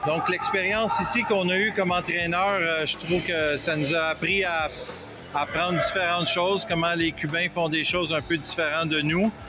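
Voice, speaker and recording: A man with a Québécois accent, a basketball coach, speaking appreciatively in a casual interview with frequent fillers. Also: fairly high pitch. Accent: Québécois French. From Quebec, Canada.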